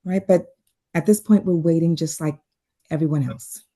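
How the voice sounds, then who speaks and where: A woman playing a composed but strained doctor, quietly confrontational, in a table read. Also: sits low, moderate pace.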